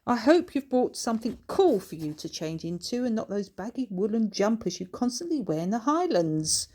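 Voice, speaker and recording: A woman's voice: on the high side, big pitch swings, normal speed, mellow, voice pushed up. Storybook aristocrat acted out by a woman narrator: genteel, affectionate, commanding, with a raised, effusive voice. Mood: warmly effusive. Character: wiry, grand, affectionate lady of the manor.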